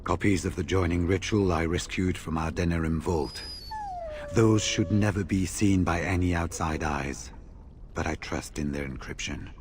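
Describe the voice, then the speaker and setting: An actor voicing a seasoned, courteous senior warrior in a fantasy video game, speaking in a formal, measured way. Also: male voice, low-pitched, a little hiss or hum, close to an everyday voice. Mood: grave, measured.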